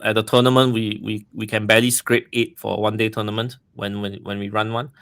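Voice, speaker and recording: A man from Singapore with a Singaporean accent talks as a guest on a casual podcast. His voice is low, and he is friendly, laughs easily and repeats words while he searches for the next thought. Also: flat delivery, quick pace, a bit bright.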